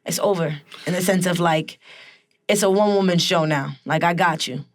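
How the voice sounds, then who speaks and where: A young woman with a raspy voice, a musician talking candidly in a casual, slangy interview. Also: lowish voice, moderate pace. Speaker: guest musician and rapper.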